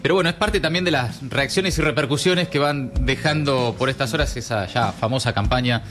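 A man's voice: medium pitch, brisk, fairly bright tone, soft background music. A man with an Argentine accent hosting a casual news talk radio show, chatting with co-hosts.

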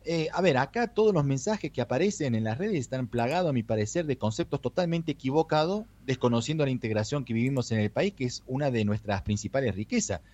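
This is a man from Argentina who now reports as a correspondent, and his Argentine Spanish has an Italian lilt. He talks in a measured, explanatory way and checks in with his listener after each point. His voice sits in an ordinary middle pitch. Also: a little mellow, muffled recording. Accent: Argentine Spanish with Italian touches. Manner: measured, explanatory, tags sentences with rhetorical checks. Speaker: correspondent in Europe. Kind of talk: phone-in radio commentary.

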